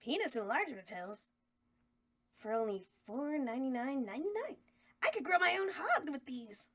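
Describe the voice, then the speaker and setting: A woman's voice: high voice, very animated, moderate pace, a bit bright, exaggerated voice. A woman reading a flirty, bawdy horror hostess at a table read. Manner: bawdy, giggly. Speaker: cast member in table read.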